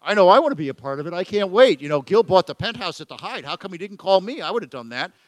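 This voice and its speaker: Man, radio host, joking and self-deprecating, slightly high voice, dry close mic. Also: very animated, fast, dry recording. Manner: jokey, self-deprecating, chatty. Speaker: radio talk show host. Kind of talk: casual chat. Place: event venue with photographers.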